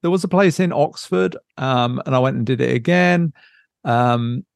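A British man in relaxed podcast conversation, his mid-pitched voice edged with vocal fry. He talks in a hesitant, reflective way, correcting himself as he goes. The sound is close and dry. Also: normal speed, no room sound. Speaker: podcast co-host. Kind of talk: casual unscripted chat.

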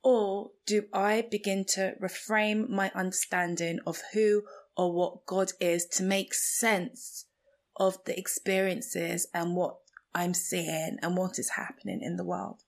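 A woman from Nigeria whose first language is Igbo, hosting a podcast and reflecting slowly and earnestly on her beliefs. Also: on the low side, level tone. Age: adult, a mother. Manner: slow, thoughtful, earnest delivery. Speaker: podcast host. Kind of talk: reflective personal monologue.

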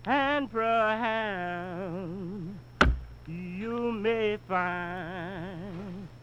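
A woman singing a spiritual in a drawn-out style, on a dull music recording. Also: medium pitch, heavy background noise. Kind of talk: slow sung spiritual.

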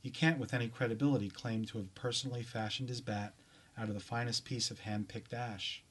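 A man's voice: medium pitch, steady tone, a little fast, a bit bright, a little hiss or hum. Male author-reader, medium register, scripted second-person literary narration. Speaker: author reading own short story.

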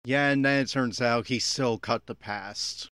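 A man delivers off-the-cuff commentary with a critical, exasperated edge. His voice sits at a middling pitch and is recorded close and dry, with very little room sound. He speaks as an opinionated podcaster reacting to what he watches. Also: measured pace, fairly bright tone, no room sound. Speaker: podcaster giving episode commentary. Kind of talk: unscripted audio commentary on an anime episode.

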